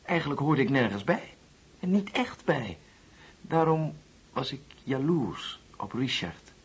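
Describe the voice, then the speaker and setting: A man playing a polite, lonely young orphan guest in a Dutch radio play, speaking haltingly and apologetically. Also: mid-range voice, very animated, slightly rough, heavily acted. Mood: hesitant, confiding. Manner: halting, apologetic, with self-corrections.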